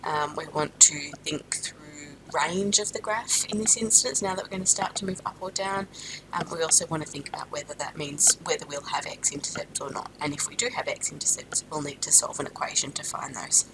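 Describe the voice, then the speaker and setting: Stuffy-nosed woman teaching maths, low gravelly voice. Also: a little fast, background noise. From Australia. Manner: steady explaining with frequent ums and self-corrections. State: stuffed up with a sinus infection. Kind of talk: recorded classroom lesson.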